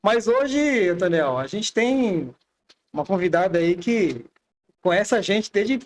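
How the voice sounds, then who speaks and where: A man's voice: high-pitched, big pitch swings, slow. A man in his twenties hosting a religious podcast, chatty and loose in manner. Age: 20s. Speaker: podcast host. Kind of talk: casual religious podcast chat.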